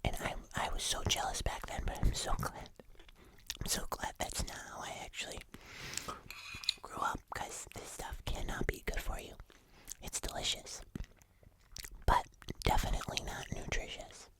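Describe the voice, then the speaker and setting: An ASMR host speaking entirely in a soft, unvoiced whisper, chatting casually through a food review. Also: normal speed.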